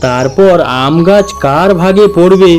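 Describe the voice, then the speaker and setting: A man's voice: high-pitched, measured pace, darkish tone, soft background music. A man narrating a scripted cartoon moral story as storyteller.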